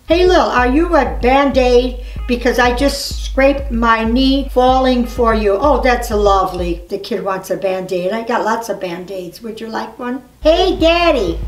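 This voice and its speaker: An elderly woman reading messages aloud and commenting casually in a blunt, scolding, animated manner. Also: on the high side. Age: 70s or older. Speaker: grandmother reading messages for a family video channel. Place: living room, indoors in an armchair. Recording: echoey room, distant mic, music.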